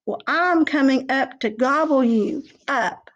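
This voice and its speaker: A hungry storybook troll, acted out by a woman issues menacing challenges from under a bridge. The delivery is slow and drawn out, in a female register that is fairly high. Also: a bit bright, lo-fi sound, heavily acted. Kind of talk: children's folk tale storytelling.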